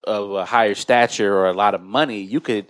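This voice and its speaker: A man's voice: lowish voice, fairly even intonation, fairly quick, no room sound. An American man hosting a podcast in a conversational way, explaining and recounting things as he talks. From United States. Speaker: podcast host.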